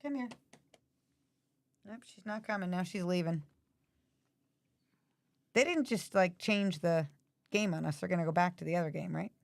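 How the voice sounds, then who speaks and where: A woman with a raspy, allergy-hoarse voice chatting casually while watching hockey at home. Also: on the low side, moderate pace, long pauses, no echo, studio quality. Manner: chatty, asks many questions. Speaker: livestream host.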